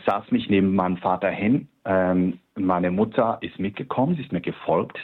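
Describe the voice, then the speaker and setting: A man from Switzerland, a guest telling his own story in a hesitant, reflective way, often pausing. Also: low voice, slightly rough. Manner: hesitant, reflective, with frequent pauses and fillers. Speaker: guest artist. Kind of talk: personal storytelling in an interview. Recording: tinny phone-like line.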